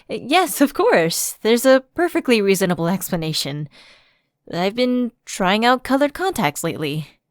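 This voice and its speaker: A woman's voice: medium pitch, very animated, unhurried, dry recording, clean studio sound. A woman playing a personal assistant who is secretly a fallen angel, evasive and coaxing, in a conversational ASMR roleplay. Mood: nervously breezy.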